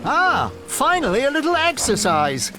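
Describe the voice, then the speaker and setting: Clever little cartoon warrior, very high voice, bouncy intonation, confident, brisk. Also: male voice, wildly swooping pitch, music in the background, full character voice. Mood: assured. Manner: brisk, assured. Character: small, clever, confident male Gaul hero. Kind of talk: video game cartoon acting.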